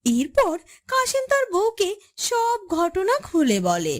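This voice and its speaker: A woman's voice: very high voice, wildly swooping pitch, takes it slowly. A woman narrating a scripted cartoon story as its storyteller.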